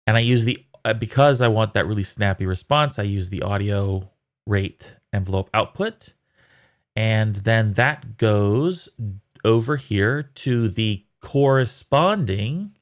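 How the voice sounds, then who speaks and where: A man giving a casual technical tutorial as an audio developer, talking in a halting, thinking-aloud way. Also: on the low side. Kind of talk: casual technical screen walkthrough.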